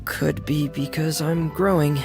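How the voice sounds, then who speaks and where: Withdrawn younger-brother game role, teen boy: hesitant, creaky low voice, music behind. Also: fairly even intonation. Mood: nervous, evasive. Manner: hesitant, halting, timid. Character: shy, withdrawn, soft-hearted younger teenage boy. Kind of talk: video game voice acting.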